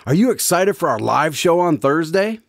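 A man acts as a cheerful radio drama announcer. His pitch moves in lively swings, and he is courteous with his guest. The recording is dry and close. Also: normal speed, gravelly, no echo. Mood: friendly.

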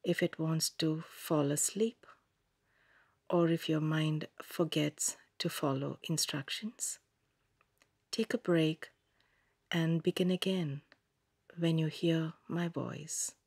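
A woman leads a scripted relaxation practice at a very slow, unhurried pace. Her voice is fairly low and soft, with plenty of breath in it. She gives each instruction calmly and gently, leaving long pauses between phrases. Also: breathy edge, dry recording. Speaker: yoga nidra meditation guide. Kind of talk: scripted guided meditation narration.

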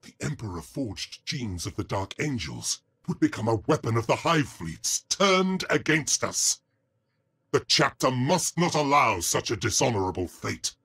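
An actor playing a stern, solemn space marine commander in a video game cutscene, with a husky voice and grave, formal, commanding delivery. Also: male voice, medium pitch, sing-song, a little slow, fairly bright tone, full character voice. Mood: grave, resolute.

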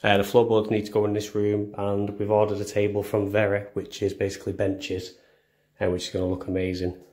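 A young man with a fairly low voice shows viewers around a house. He talks casually and chattily, with self-deprecating jokes, as he walks from room to room filming on a phone. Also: completely flat delivery, fairly quick, darkish tone. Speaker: vlogger. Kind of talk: casual house tour narration. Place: indoors, walking through rooms of a house. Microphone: handheld phone camera.

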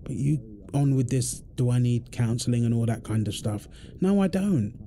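A man's voice: mid-range voice, animated, moderate pace, mellow, lightly acted. A man playing a tired, irritable firefighter husband in an intimate roleplay audio drama. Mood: tense, apologetic. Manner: weary sighs, snappy, then apologetic.

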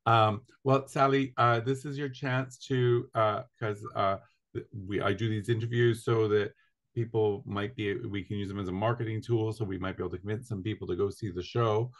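A middle-aged man hosts an interview over a video call from a room with a big window. He talks casually and chattily, dotted with uhs, in a somewhat low voice. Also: steady tone, moderate pace. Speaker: interviewer, host. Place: indoor room with large window.

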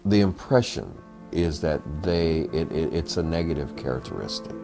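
A middle-aged man with a very low, deep voice talks slowly and thoughtfully in an interview, leaning on his words. He is recorded at home on a lapel mic, with music playing clearly beneath him. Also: big pitch swings. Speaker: documentary photographer. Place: indoor home room. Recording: music bed underneath.

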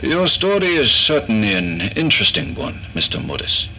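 An actor playing an eccentric, self-assured doctor who speaks formally and dismissively in an audio drama. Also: male voice, mid-range voice, sing-song, normal speed, bright, forceful tone, a little hiss or hum, exaggerated voice, low-quality recording. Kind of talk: audio drama log entry.

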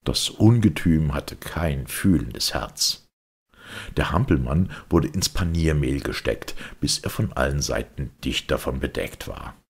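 A man narrating a children's audiobook as an expressive storyteller. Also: extremely low pitch, a little slow, breathy edge.

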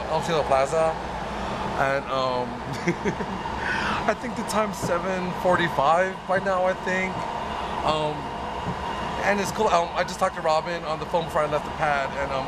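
A man vlogging from a phone held at arm's length while waiting for a bus and riding a train, rambling and chatty with lots of ums, slightly hoarse from losing his voice. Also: fairly high pitch. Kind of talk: casual self-filmed travel vlog. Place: outdoors at a bus stop, then on a bus and a train. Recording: faint vehicle and street noise behind.

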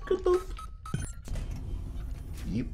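A man giving casual gameplay commentary in a playful, offhand mutter with jokey asides. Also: extremely slow. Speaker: gamer commenting on a horror game playthrough. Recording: voice over loud game soundtrack. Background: loud game music and sound.

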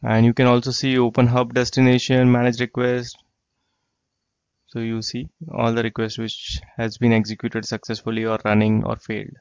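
A man walking through a software tool in a screen-share tutorial, explaining plainly and repeating himself. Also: medium pitch, completely flat delivery, moderate pace, clean tone, dry recording. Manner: plain explanatory, repeats phrases like "you get the idea". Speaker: software trainer. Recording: dry, slightly dull lo-fi voice track.

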